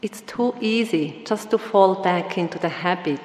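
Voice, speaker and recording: A woman meditation teacher giving a Dhamma talk with a slow, gentle instructional delivery. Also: lowish voice, a little hiss or hum. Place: meditation hall. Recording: roomy hall with long reverb.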